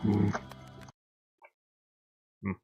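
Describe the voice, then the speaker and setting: A man's voice: very slow, dry recording, lo-fi sound, faint music behind. A man thinking aloud in casual live-stream chat, muttering informally to himself as he works. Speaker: streamer and hobby game developer.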